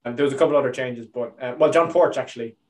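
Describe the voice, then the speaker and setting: A man's voice: mid-range voice, fast talker, far from the mic, faint music behind. Laughing man, a little off-mic. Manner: rambling, laughing, many fillers. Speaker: podcast co-host. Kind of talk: casual banter.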